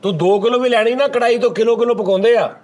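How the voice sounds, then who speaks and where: A man's voice: extremely high pitch, quick pace, darkish tone. A man hosting a casual group chat, asking questions and explaining things as he goes. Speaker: show host.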